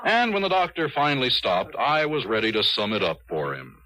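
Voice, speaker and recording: Brisk army officer, bright, lively voice. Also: male voice, mid-range voice, rough recording. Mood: brisk, narrating. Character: brisk, hard-nosed male army officer telling his story. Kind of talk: old-time radio drama narration and dialogue.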